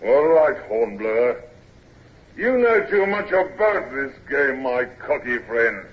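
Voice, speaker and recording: A man's voice: medium pitch, sing-song. An actor playing a drunken, blustering young naval bully in an old radio drama, sneering and drawing out his words. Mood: sneering suspicion. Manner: sneering, drawn-out, swooping. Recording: muffled old radio recording.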